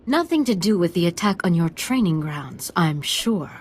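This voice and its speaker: An actress playing a proud, bitter and ailing village matriarch in a video game, stately and weary. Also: female voice, lowish voice, big pitch swings, moderate pace, a little hiss or hum. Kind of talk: video game dialogue acting.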